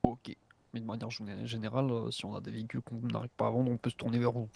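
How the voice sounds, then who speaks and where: A man's voice: mid-range voice, little pitch movement, fast talker, gravelly, a little mellow, slightly rough. A man chatting casually while playing a role-play game with others. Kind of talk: casual chat.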